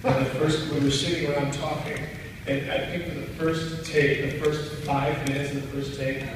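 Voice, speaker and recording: A man's voice: on the high side, flat delivery, normal speed. A man, an actor on a press panel, telling an anecdote with animation and laughter. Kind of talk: casual anecdote. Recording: echoey hall, far from mic, noisy.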